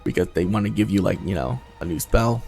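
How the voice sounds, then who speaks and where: A man's voice: sits low, expressive, fast talker, gravelly, darkish tone, faint music behind. A man giving casual playthrough commentary as a gaming host, thinking aloud and swearing casually as he goes.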